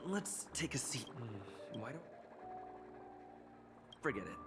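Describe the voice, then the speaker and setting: A relaxed young traveler. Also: male voice, medium pitch, slow pace. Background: game music.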